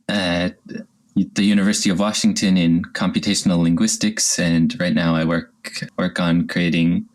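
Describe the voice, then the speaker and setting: A man's voice: sits low, poor audio. A man from Alaska, a guest, introducing himself in a hesitant way with small restarts. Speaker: guest, language technologist. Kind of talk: casual self-introduction.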